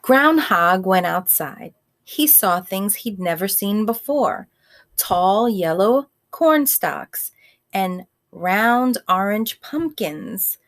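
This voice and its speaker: A woman reading a picture book aloud like a teacher, in simple child-directed speech, pausing to ask questions and explain words. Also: medium pitch, lively intonation. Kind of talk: read-aloud storytime with asides and questions.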